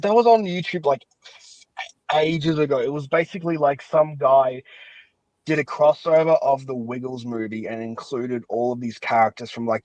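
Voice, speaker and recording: A man's voice: mid-range voice, darkish tone, dry recording, highs cut off. A young man hosting a casual interview, reminiscing in a rambling, enthusiastic way. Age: young adult. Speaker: podcast host.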